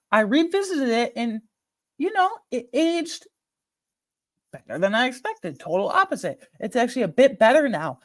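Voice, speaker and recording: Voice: mid-range voice, no echo, studio quality. A video host giving casual, rambling film commentary to viewers, emphatic and slangy with frequent asides.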